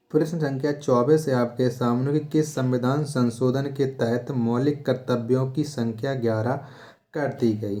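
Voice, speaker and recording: Male quiz tutor, soft-edged relaxed tone, mid-range pitch, repeats questions before answering. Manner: repetitive, rhetorical questioning, instructional. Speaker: exam coaching teacher. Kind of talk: quiz lesson, reading questions and answers aloud.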